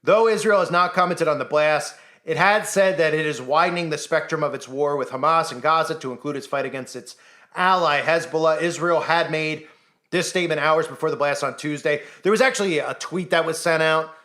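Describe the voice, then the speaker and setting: Insistent man with a raised pitch. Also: a little fast, bright, forceful tone. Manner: insistent, rhetorical, acts out imagined voices. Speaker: commentary channel host. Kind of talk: conspiracy commentary monologue.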